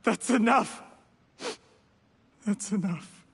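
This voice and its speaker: A man's voice: sits high, extremely slow, gravelly, husky, full character voice. An actor playing a defensive, guilty, easily rattled young man in a video game. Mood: distressed, defensive.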